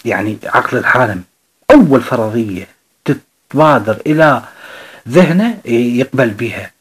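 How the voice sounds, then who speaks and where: A man with an Iraqi Arabic accent explaining a self-help book in his own words, unscripted and halting, often pausing and restarting. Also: mid-range voice, animated, husky.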